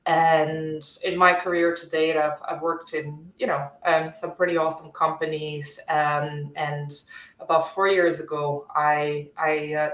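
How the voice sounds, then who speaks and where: A woman in her thirties from Bulgaria, a tech leader chatting as a guest over a video call, friendly and quick to laugh. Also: low voice, a bit bright. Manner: friendly, laughs easily, fillers. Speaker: guest, software development leader. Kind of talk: casual interview chat. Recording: roomy echo.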